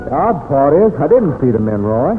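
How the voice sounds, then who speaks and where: A man's voice: a little high, big pitch swings, fairly quick, in a large hall, over music. A man playing an earnest, plain-talking ranch hand with an American accent in an old radio western. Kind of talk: 1940s-50s radio western acting. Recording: tinny, narrow old radio recording.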